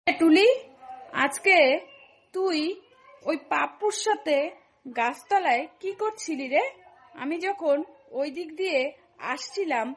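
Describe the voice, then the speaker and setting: A woman performs a scolding village mother in a comedy cartoon. She has a high voice and an accusing, suspicious manner, challenging whoever she addresses. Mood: angry scolding.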